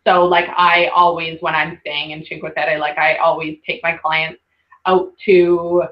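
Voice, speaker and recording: A woman's voice: deep voice, flat delivery, unhurried, highs cut off. A woman hosting an online workshop, chatting casually through slides with lots of laughs. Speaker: workshop host presenting slides. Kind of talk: casual webinar presentation.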